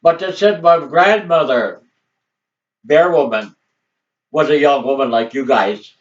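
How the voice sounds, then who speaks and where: A man's voice: a little high, unhurried. An older man whose first language is Assiniboine, telling family history in a rambling, casual way and chuckling often. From Montana, US. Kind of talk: casual storytelling. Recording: dull distant home recording.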